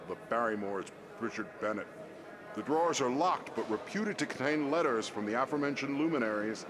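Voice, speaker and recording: An actor playing a showy estate auctioneer in an audio drama, with formal sales patter. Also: male voice, lowish voice, close to an everyday voice. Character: smooth-talking country estate auctioneer selling antiques. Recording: busy crowd behind the voice.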